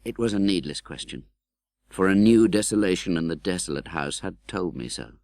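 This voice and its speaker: A British man playing an earnest, tender-hearted gentleman who recounts his own life in a dramatic, measured audiobook narration. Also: sits low, expressive, vocal fry, no echo, studio quality. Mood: reflective, sombre. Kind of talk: dramatic literary audiobook narration in first person.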